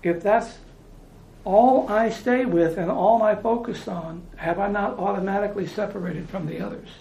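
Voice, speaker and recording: A man's voice: high voice, moderate pace, gravelly, a little mellow, echoey room, low-quality recording. An American man preaching informally, talking in a conversational way, repeating phrases and restarting his sentences. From United States.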